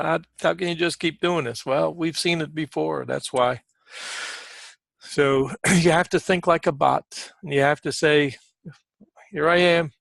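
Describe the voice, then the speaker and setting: Male trading coach, slightly high-pitched with a creaky edge, talking informally and often clearing his throat. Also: a bit bright, no room sound. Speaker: trading coach giving market commentary. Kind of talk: informal live market commentary.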